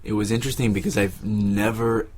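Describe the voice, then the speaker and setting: A man giving casual, reflective interview answers, haltingly searching for his words. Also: fairly low pitch, steady tone, a little mellow, slight background noise. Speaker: interviewee, exercise participant.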